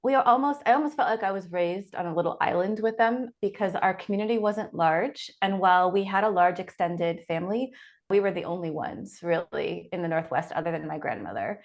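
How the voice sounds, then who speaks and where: A woman's voice: fairly low pitch, brisk. A woman in her forties from the Pacific Northwest, answering interview questions reflectively as an author guest. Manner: reflective, thinks aloud with restarts.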